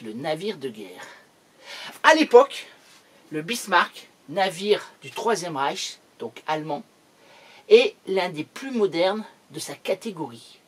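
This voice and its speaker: A man tells a military history lesson with a husky, somewhat breathy voice. His delivery is emphatic and declamatory, leaning hard into key words as he narrates. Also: high-pitched, fairly bright tone.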